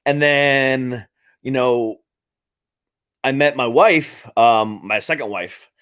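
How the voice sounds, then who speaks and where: A man's voice: medium pitch, slow pace, fairly bright tone, smooth. A man in his late thirties hosting a podcast, talking casually in a rambling way with many fillers and restarts. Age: 30s. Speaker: podcast host. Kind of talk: casual interview chat.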